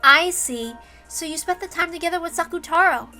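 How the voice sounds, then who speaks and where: A fond mother, voiced by a woman reader, pitched high and bright, with music behind her. She sounds sweet, coaxing and indulgent, as in a visual novel. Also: moderate pace, heavily acted. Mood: fond, pleased. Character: tired mother, surprised and fondly indulgent to her child.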